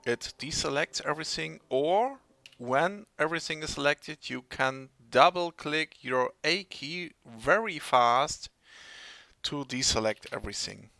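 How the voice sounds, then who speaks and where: Slow, halting German-accented man. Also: on the high side, lively intonation, gravelly, bright, forceful tone, dry recording. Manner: slow, halting, searches for English words. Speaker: tutorial maker. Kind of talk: screen-recorded software tutorial.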